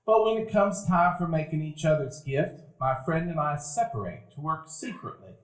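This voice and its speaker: Casting: actor as a reminiscing narrator; slightly high male voice, roomy hall. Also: moderate pace, natural voice. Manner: calm, reminiscent storytelling. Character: grown Buddy recalling his boyhood. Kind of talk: radio play narration. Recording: roomy live stage recording.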